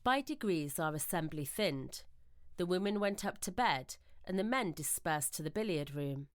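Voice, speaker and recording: A woman reading scripted ghost-story narration in a measured, literary, suspenseful manner, as in a radio drama. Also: on the low side, expressive, no room sound, studio quality.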